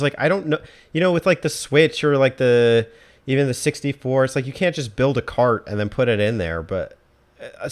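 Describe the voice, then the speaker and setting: A man hosting a casual interview podcast, laid-back and inquisitive in the way he talks. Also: on the low side. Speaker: podcast host.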